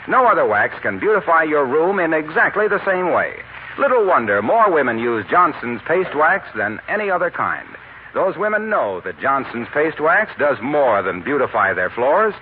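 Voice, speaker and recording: A man's voice: fairly high pitch, a little hiss or hum. A man with an American accent announcing in polished, emphatic 1940s radio style. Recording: tinny band-limited old radio recording, music behind. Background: music.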